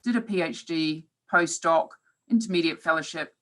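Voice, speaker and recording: A woman's voice: low voice, moderate pace, a bit bright. A woman in her forties with a New Zealand accent, giving a reflective webinar talk as a professor, with occasional hesitant fillers. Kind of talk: presentation talk.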